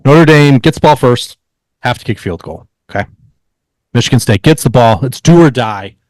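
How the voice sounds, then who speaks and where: A man co-hosting a podcast, telling stories in a casual, halting way and repeating words as he searches for the next. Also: medium pitch, expressive, a little mellow, no echo, poor audio. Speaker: podcast co-host. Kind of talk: casual sports storytelling.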